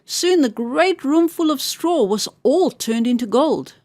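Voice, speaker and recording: A woman storyteller reads a fairy tale for children with careful, expressive diction. Her voice is high and strongly animated, recorded dry with hardly any room around it. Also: unhurried, no echo.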